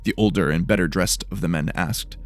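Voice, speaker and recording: A man's voice: low-pitched, a little fast. A man narrating a scripted fantasy audio drama.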